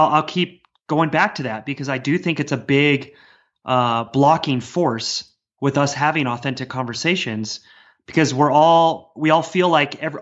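A man's voice: medium pitch, a bit bright. A man speaking earnestly and discursively as a guest author in a casual podcast conversation. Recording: dull, lo-fi sound.

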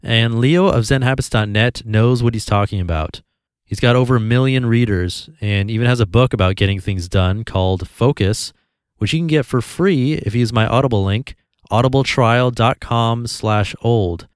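A man hosting a podcast, calmly reading a blog post aloud in an even, easygoing way. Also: lowish voice, smooth, no room sound, studio quality. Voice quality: calm, even voice.

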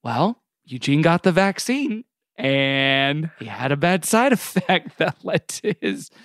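A man's voice: on the high side, very animated, takes it slowly, a bit bright, no echo, clean studio sound. A man co-hosting a podcast in casual comedic chat, with a joking, sarcastic manner. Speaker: podcast co-host.

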